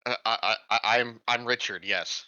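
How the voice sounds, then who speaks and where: A male game player with a sharp, very bright voice. He chats casually and stammers over repeated opening words. His audio is dry and slightly muffled. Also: medium pitch, steady tone, moderate pace, dry recording.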